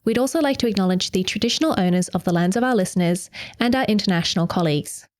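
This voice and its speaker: A woman's voice: mid-range voice, animated, fast talker, darkish tone. A woman from Australia hosting a podcast, reading a scripted welcome and then asking questions in a friendly, slightly hesitant way. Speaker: podcast host. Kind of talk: scripted intro then casual interview.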